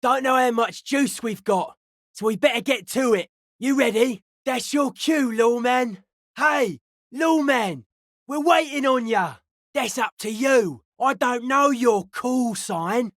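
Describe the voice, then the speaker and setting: An actor voicing a young, earnest, easily spooked counterculture club kid in a video game, with slangy, deadpan drawl. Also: male voice, very high voice, slow pace, no echo, clean studio sound, heavily acted. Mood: focused, wary.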